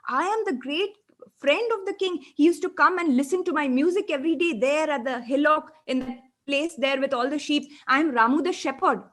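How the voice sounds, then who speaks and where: A woman's voice: sits high, expressive, normal speed. A woman voicing an innocent, earnest young shepherd boy. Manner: earnest, pleading.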